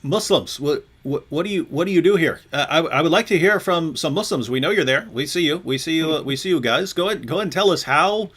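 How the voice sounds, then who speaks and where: A man's voice: on the high side, expressive, fast, hardly any pauses, fairly bright tone, breathy edge. A man hosting a discussion, speaking animatedly, mimicking opponents and addressing viewers directly. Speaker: show host. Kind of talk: discussion on a live show.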